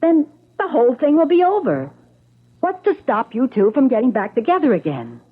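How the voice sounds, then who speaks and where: A woman's voice: sits high, wildly swooping pitch, breathy edge, exaggerated voice. An actress playing a nosy, practical female friend in an old-time radio drama, probing and incredulous. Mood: coaxing. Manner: probing, incredulous, brisk opinions. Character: nosy, practical girlfriend pressing for confidences. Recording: thin phone-like old radio recording.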